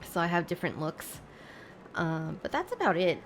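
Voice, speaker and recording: A woman's voice: on the low side, big pitch swings, normal speed, faint music behind. A woman livestreamer chatting casually with her viewers, thinking aloud in a hesitant, rambling way. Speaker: live streamer.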